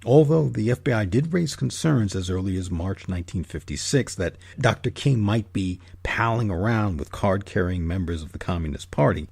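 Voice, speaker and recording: A man narrating a scripted history documentary as host, in a measured, emphatic manner. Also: fairly low pitch, creaky, a little mellow, husky, no room sound.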